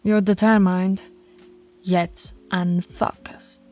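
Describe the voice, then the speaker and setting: The pack leader in a late-night werewolf audio drama, pinning a pup with slow, dark, stern scolding over music, acted by a woman. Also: mid-range voice, level tone, lightly acted. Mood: stern admonishing. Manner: slow, deliberate, menacing scolding. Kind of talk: ASMR audio roleplay.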